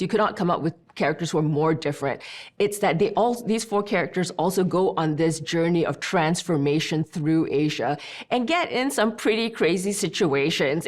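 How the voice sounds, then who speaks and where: Low-voiced woman director talking easily. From Malaysia. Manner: animated, gestures as she talks, easy conversational flow. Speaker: film director. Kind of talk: behind-the-scenes interview.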